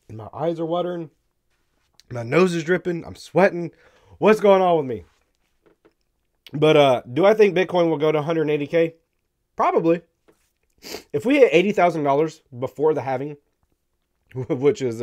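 A man in his thirties hosting a crypto live stream, hoarse and losing his voice, talking bluntly with wry laughs into a studio mic. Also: a little high, lively intonation, moderate pace, long pauses, darkish tone. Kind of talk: casual opinionated commentary. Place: dark studio room, indoors. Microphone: studio mic on stand in front.

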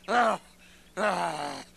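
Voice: mid-range voice, vocal fry, noisy background, full character voice. A man voicing a scheming, blustering big bad wolf in a puppet comedy. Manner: hammy, blustering.

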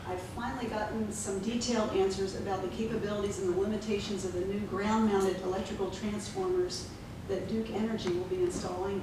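A middle-aged woman reads a formal, measured statement at a council podium. Her voice rings through a very echoey chamber and sits back from the microphone, with a clear hiss of room noise underneath. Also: medium pitch. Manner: measured, reading from notes, formal. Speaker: resident giving public comment. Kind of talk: prepared statement read at a podium. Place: city commission chamber. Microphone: podium gooseneck mic. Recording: echoey chamber, mic at a distance, noisy.

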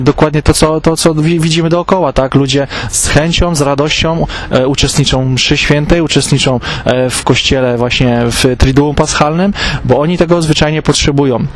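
A man's voice: medium pitch, slight background noise. A man in his thirties answering a street interview outdoors on a handheld mic, earnest and full of fillers.

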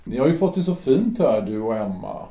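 A man playing a hesitant young ex-sailor in a radio play, speaking in a halting way. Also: medium pitch, very animated, dark tone. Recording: echoey room.

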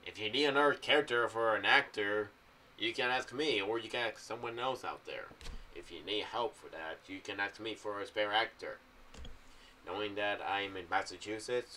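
A young American man giving casual, meandering commentary to camera in a halting, loose way. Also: on the low side, sharp tone, distant mic, a little hiss or hum. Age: young adult. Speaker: video creator giving theories on an audio drama series. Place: small room indoors. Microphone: boom-arm condenser with pop filter, off to the side.

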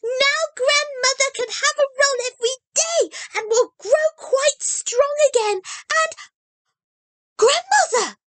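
A bubbly little girl's voice pitched way up high, gushing with eager delight as it leaps about in a lively sing-song, put on by a woman reading a storybook aloud. The sound is close and dry, with no room around it. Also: measured pace, no echo, heavily acted. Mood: eager gleeful. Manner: bubbly, gleeful, breathless rush. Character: excitable eager little girl.

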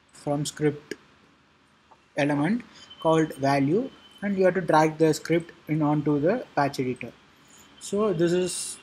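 A man narrating an informal software tutorial in a halting, repetitive way, with a husky voice. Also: a little high, a little hiss or hum, low-quality recording. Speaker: tutorial presenter.

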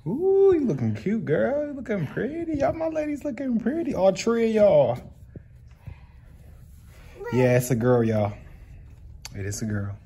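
A man's voice: high voice, wildly swooping pitch, measured pace, pauses often, dark tone. A man chatting casually as a home vlog host, playful and encouraging with his family. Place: at home, indoors and out in the yard. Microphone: handheld phone. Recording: clearly noisy handheld phone recording.

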